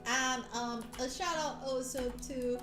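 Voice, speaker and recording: Female streamer, very high voice, playful and teasing, loose chatty delivery over background music. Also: slow. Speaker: livestream host. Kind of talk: casual live stream chat, teasing viewers. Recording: echoey room, music behind voice. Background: soft background music.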